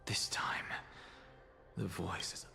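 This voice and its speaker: A man playing a brooding, analytical scholar in a video game, delivering grave, deliberate lines in a hushed, breathy voice. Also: whispered. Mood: tense, searching. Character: brooding, analytical male scholar, intense and aloof. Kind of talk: video game voice acting, dramatic monologue. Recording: echoing, under loud music.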